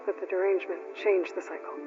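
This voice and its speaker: A woman's voice: medium pitch, little pitch movement, fast, close to an everyday voice. An actress playing a determined, stubborn young woman adventurer in a video game, with a matter-of-fact, resolute delivery. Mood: curious, thoughtful. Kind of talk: naturalistic video game acting. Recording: dull, compressed game audio. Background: prominent background music.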